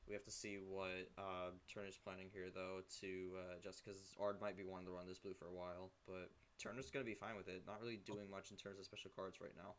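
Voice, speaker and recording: A young man with a low, fairly monotone voice, calling an online card game on stream in a relaxed, halting way. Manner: relaxed, hesitant, many fillers. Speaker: play-by-play commentator.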